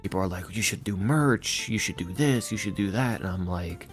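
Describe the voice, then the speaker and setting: A man being interviewed on a casual podcast as a guest, speaking in a halting, reflective, self-deprecating way. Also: on the low side, faint music behind. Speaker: interview guest, ASMR roleplay creator.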